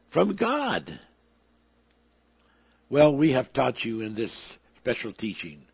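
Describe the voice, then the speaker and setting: A man's voice: medium pitch, no room sound. A man preaching a sermon, emphatic, with his volume swelling and dropping. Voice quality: gravelly, husky.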